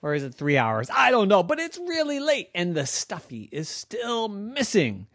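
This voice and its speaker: A woman voicing a bossy, insistent child calling on the phone at midnight, talking loudly and stubbornly. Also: fairly low pitch, very animated, normal speed, breathy edge, no room sound, studio quality, pitched above the performer's own voice. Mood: scolding. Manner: loud, pushy, stubborn.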